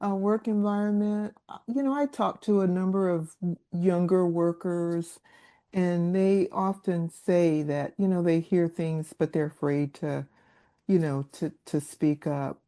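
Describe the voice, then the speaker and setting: Mellow-voiced woman podcast co-host. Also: lowish voice, unhurried. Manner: hesitant, restarts and animated rises when asking. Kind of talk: interview questions.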